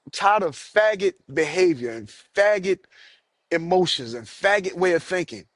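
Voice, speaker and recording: A man hosts his own podcast, ranting and cursing in an angry stream. His voice sits high for a man, and he stumbles over repeated words as he pushes his point. The sound is close and dry, with no room around it. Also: expressive, moderate pace, fairly bright tone, dry recording. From United States. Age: adult, has children. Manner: angry, profane, repeats himself. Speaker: podcast host. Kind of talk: solo podcast rant.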